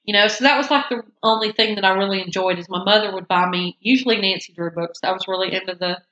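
A woman's voice: mid-range voice, fast, bright, forceful tone, muffled recording. Congested woman chatting in an echoey room. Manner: rambling, self-interrupting, laughs often. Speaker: podcast co-host. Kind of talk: casual chat.

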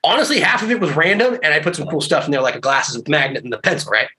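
A man talking casually on a video call, rambling from one thing to the next and cursing freely. His voice sits a bit high for a man and sounds bright and forceful, and he laughs often. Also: very fast, a little hiss or hum. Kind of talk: casual chat.

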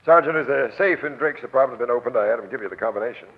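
Brusque police captain on tinny old radio. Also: male voice, mid-range voice, very fast. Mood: brusque, skeptical. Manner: gruff, commanding, slangy, sarcastic. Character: brusque, skeptical, sly male police captain. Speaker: actor in a radio drama. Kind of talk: 1940s radio crime drama acting. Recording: tinny, band-limited old radio broadcast.

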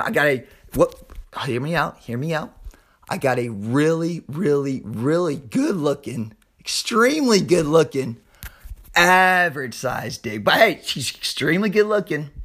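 A man with a Southern US accent and a slightly hoarse voice, hosting an informal sports podcast like a hyped showman, stretching words and repeating phrases. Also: medium pitch, a bit bright. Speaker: podcast host.

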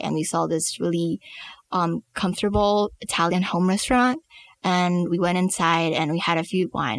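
Young Taiwanese woman, lowish voice, reflective storyteller. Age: young adult. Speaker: interview guest. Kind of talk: personal storytelling in an interview. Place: studio.

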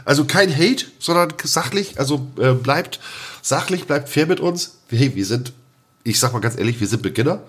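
Dude on a casual podcast, normal mid voice, slangy, repeats himself, just chatting unscripted. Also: male voice, medium pitch, lively intonation, moderate pace. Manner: colloquial, repeats words, slangy asides. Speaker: podcast co-host.

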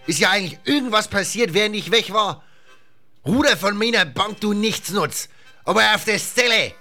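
A man voicing a gruff, bossy pirate captain in an audiobook, barking orders in colloquial slangy speech. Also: sits high, sharp tone, voice pushed up, heavily acted. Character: gruff, bossy one-eyed male pirate captain, middle-aged.

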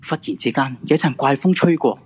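A man's voice: medium pitch, fairly quick, a little mellow, husky. A man with a Hong Kong Cantonese accent narrating a scripted ghost-story radio drama. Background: background music.

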